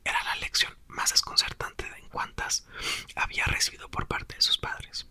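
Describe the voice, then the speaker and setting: A young man, host of his channel, whispering a book aloud and chatting softly to viewers. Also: moderate pace. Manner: soft, whispered throughout. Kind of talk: ASMR reading and chat.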